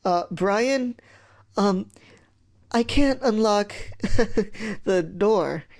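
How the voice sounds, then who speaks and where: A man's voice: high-pitched, animated, brisk, vocal fry, mellow, pitched above the performer's own voice. A man voicing a cheeky, pestering little boy who turns sheepish in a children's story. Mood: nervous, sheepish. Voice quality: weedy little voice when scared.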